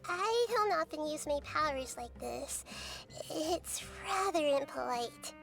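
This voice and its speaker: A woman voicing a calm, gentle, childlike young goddess in an anime video game, speaking in measured, thoughtful phrases. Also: sits high, creaky, faint music behind, heavily acted. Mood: gentle, reassuring.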